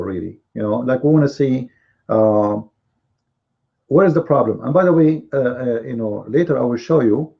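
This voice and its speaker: A man whose first language is Arabic, hosting a live online commentary show, with emphatic, repetitive speech and drawn-out stresses. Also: medium pitch, gravelly, dull audio.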